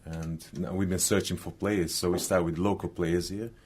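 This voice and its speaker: Very deep-voiced Brazilian football manager. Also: male voice, steady tone, moderate pace, a little mellow, breathy edge. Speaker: football team manager, guest. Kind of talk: halting conversational radio interview.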